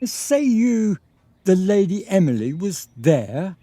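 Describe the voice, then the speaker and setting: A proud, touchy lord of a period radio play, performed by a man. He speaks slowly in a dark voice with dramatic rises and falls, haughty and commanding in his formal diction. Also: high-pitched, sing-song, dry recording, exaggerated voice. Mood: gruff, indignant. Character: proud, imperious, easily affronted lord and father.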